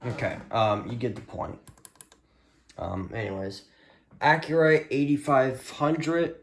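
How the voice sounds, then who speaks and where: A man's voice: mid-range voice, expressive, slow pace, gravelly, a little hiss or hum. A man giving a casual, rambling show-and-tell as a hobbyist, offhand and hesitant, sometimes muttering to himself. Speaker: hobbyist showing a radio collection.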